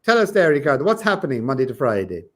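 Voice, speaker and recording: Irish-born guy teaching english on a casual stream, pretty high voice, encouraging, says stuff over again for emphasis. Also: very animated, fast talker. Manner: encouraging, emphatic, repeats phrases. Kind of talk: casual livestream chat, coaching language learners.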